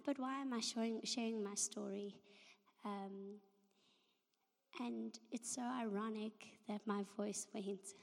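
Hoarse woman with a whispery voice. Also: medium pitch. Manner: soft, halting, breathy near-whisper with many ums. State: hoarse, half-lost voice from flu. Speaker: guest speaker. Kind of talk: personal faith testimony at a church service. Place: church hall. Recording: long reverb of a large hall.

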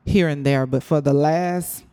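A woman with a raspy voice, chatting animatedly as a guest on a talk show, laughing often. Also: low-pitched, steady tone, measured pace, mellow. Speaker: guest, business owner. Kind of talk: casual chat.

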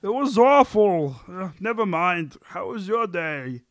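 A man's voice: high voice, animated, measured pace, dry recording. A man playing a whiny, defensive sitcom husband in a script table read. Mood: achy, grumbling.

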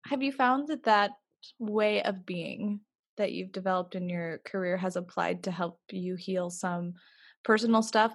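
A woman hosting an interview, gently asking probing questions. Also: mid-range voice, creaky. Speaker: interviewer host.

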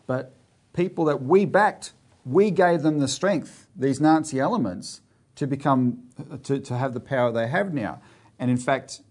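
A man's voice: medium pitch, a little mellow. An Australian man co-hosting a political talk show, discussing current affairs in a conversational way with many restarts. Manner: conversational with frequent fillers and restarts.

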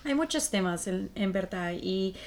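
A woman's voice: mid-range voice, expressive, measured pace. A woman in her late twenties from Colombia, with a Colombian accent, talking casually as a podcast co-host.

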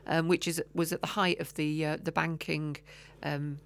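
A woman's voice: low voice, level tone, normal speed. A woman co-hosting a podcast in casual chat, often trailing off with ums and restarts. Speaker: podcast co-host.

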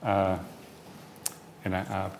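Gravelly low-voiced New Zealand man. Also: completely flat delivery, takes it slowly, slight background noise. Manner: hesitant, many fillers and restarts. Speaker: panellist. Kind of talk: panel discussion answer.

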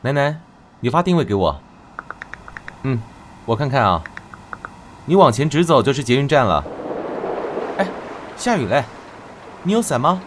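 A man playing a gentle, attentive young hair stylist in an audio drama, with a Taiwanese Mandarin accent and a soft, caring manner. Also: mid-range voice, sing-song, normal speed, long pauses, slight background noise, close to an everyday voice. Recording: music under the voice.

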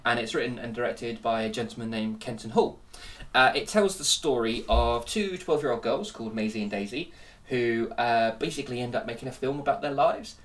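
Fast-talking, jokey man. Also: mid-range voice, fairly bright tone, distant mic. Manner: jokey, teasing. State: stuffy with a cold. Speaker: podcast host. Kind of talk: casual film-review podcast chat.

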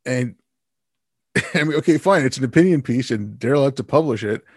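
A man hosting an interview, casual and animated, with plenty of fillers. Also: medium pitch, brisk, husky, dry recording, studio quality. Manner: animated, loose with fillers like "like" and "you know". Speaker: host. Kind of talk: conversational interview talk.

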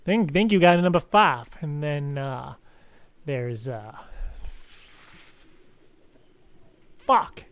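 A man's voice: fairly high pitch, lively intonation, dry recording. A man playing a bumbling, barely literate father on a prank call, earnest, stuttering and repeating his words. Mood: befuddled, halting. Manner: halting, stuttering repetition of words. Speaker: prank caller. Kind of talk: improvised prank phone call.

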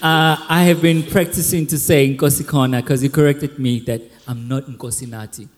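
A male pastor hosting a panel on a church stage, upbeat and teasing, loudly calling people up by name. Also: mid-range voice, fairly even intonation, moderate pace. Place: large church hall. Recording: long hall reverb.

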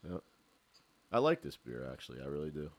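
A man's voice: rumbling low, sing-song, a little fast. A man chatting casually as a podcast co-host, agreeable, often hesitating and repeating himself. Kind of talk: casual chat.

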